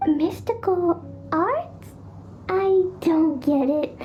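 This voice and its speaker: A woman's voice: very high-pitched, lively intonation, very slow, muffled recording, slight background noise, heavily acted. An actress voicing a tiny, cheerful, naive little girl in an anime game, with childlike, innocent phrasing. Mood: innocent, pondering. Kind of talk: anime video game voice acting.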